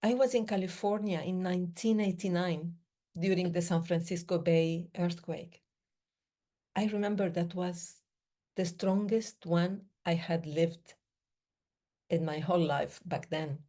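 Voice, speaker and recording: Woman speaker from the Middle East; slow, mellow, lowish voice, measured phrasing. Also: fairly even intonation. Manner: slow, measured, with pauses between short phrases. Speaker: breathing day speaker. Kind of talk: reflective talk.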